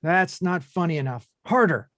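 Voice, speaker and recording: A man playing an earnest, anxious, chivalrous small repairman from a video game, polite and excitable, in an animated film table read. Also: on the high side, normal speed, dry recording, studio quality. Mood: eager, gallant.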